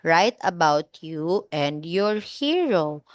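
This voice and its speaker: A woman's voice: fairly low pitch, big pitch swings, slow pace, dry recording, studio quality. A woman in her twenties teaching a one-on-one online English lesson, explaining step by step and encouraging her student over a video call. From Philippines. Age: 20s.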